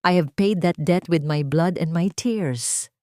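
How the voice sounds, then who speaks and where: Woman voicing a quietly resolute wounded wife. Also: on the low side, takes it slowly, no echo, studio quality. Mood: cold, defiant. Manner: soft but cold and final.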